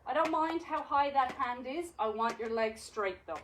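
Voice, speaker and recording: A woman's voice: high-pitched, normal speed, a little hiss or hum. A woman with an Irish accent teaching a live exercise class, cueing each movement and counting reps with encouragement. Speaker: fitness instructor.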